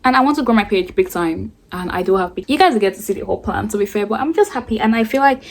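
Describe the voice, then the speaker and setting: A woman's voice: medium pitch, lively intonation, fast talker. A teenage girl chatting in a rambling, casual way while doing her makeup for a get-ready-with-me vlog. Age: late teens. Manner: rambling, chatty, self-described yapping. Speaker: beauty vlogger.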